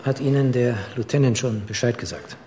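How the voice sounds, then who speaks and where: An actor playing a sober hospital doctor in a radio play, measured, frank and considerate. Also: male voice, medium pitch, warm tone, a little hiss or hum, natural voice. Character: sober hospital doctor advising a patient's husband.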